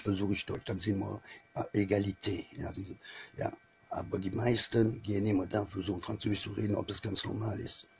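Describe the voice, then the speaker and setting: Gravelly, insistent man. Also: lowish voice, slight background noise. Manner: insistent, repetitive, mixes in French phrases. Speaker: vlogger. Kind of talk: opinionated monologue to camera.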